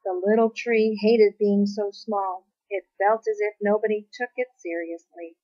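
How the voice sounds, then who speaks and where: A woman's voice: mid-range voice, steady tone, measured pace, mellow, no echo, lo-fi sound. Woman reading a children's story, dry sound. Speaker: storybook reader. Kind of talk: expressive scripted narration of a children's story.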